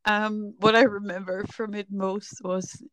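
Slow-speaking, smooth-voiced woman. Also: mid-range voice, little pitch movement, dry recording. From Netherlands. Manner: candid, reflective, laughs softly while telling her story. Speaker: filmmaker guest. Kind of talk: casual podcast conversation.

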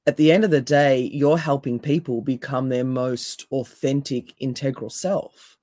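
Woman, deep raspy voice, mellow tone, emphatic coach, dry sound. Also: measured pace, no echo. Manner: emphatic, animated. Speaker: NLP coach and hypnotherapist, guest. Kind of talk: casual interview chat.

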